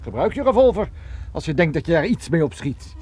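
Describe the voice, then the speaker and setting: A man acting a cool, sardonic Irish private detective in a radio drama, needling and unhurried. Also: fairly high pitch, big pitch swings, a little mellow, husky. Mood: defiant.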